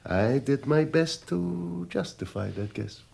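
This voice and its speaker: Radio drama villain: dandyish crime lord, slow and gravelly, mock-polite menace, hushed intensity. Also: male voice, medium pitch, lively intonation, heavily acted. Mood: gloating. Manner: theatrical, mock-courteous, deliberate, dropping into hushed intensity. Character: menacing, dandyish male crime boss, vengeful and courteous. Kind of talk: 1980s radio drama acting.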